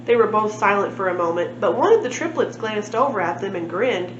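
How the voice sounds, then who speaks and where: A woman's voice: mid-range voice, lively intonation, brisk. A woman reading a children's storybook aloud as a library storytime narrator. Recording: echoey room, muffled, clear background noise.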